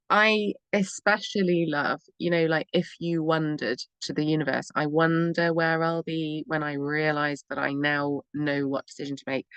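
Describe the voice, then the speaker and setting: A woman's voice: fairly low pitch, no echo. A woman hosting a talk radio show, giving rambling, encouraging advice and laughing often. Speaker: radio show host and EFT therapist.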